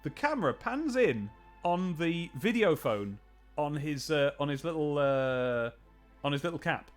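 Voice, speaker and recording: A man's voice: on the high side, very animated, a little slow, soft background music. A man streaming a video game late in the day, sleepy but chatty, laughing and retelling scenes from old TV. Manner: chatty, laughing, tells anecdotes with put-on quotes. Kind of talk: casual live-stream chat.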